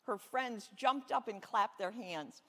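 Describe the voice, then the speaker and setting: Higher-voiced woman pastor in an echoey hall. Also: takes it slowly, bright, forceful tone. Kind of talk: children's sermon, reading a Bible story aloud. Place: large reverberant church space. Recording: echoey hall with long reverb.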